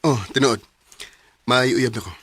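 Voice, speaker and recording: A man's voice: mid-range voice, a little slow, a bit bright, slight background noise, natural voice. A man playing an evasive young love interest with a Cebuano Filipino accent in a radio romance drama. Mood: guarded.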